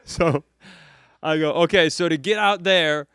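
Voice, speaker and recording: A man telling a comic rant at a live comedy club, acting out people in high shouty voices. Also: a little slow, smooth, no room sound. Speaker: podcast co-host. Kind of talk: comedic storytelling.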